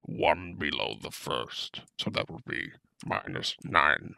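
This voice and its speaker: A man voicing a menacing gunslinger villain with a gravelly, creaky, slow drawl, narrating a tutorial in character. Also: extremely low pitch, sing-song, bright, forceful tone, no echo, studio quality, pitched below the performer's own voice, heavily acted. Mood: slow, deliberate.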